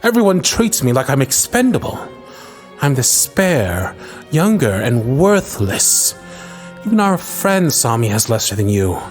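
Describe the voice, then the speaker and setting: A man's voice: mid-range voice, big pitch swings, a little slow, faint music behind. A man playing a bitter, scornful younger prince in a fantasy audio drama, brooding and theatrical, full of contempt. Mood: bitter, wounded.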